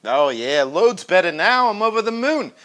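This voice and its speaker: A man's voice: high-pitched, very animated, moderate pace, a bit bright. Man hamming a fake Australian-British accent. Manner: hammy put-on British-Australian accent, slang-heavy. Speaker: co-host. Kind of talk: joking banter.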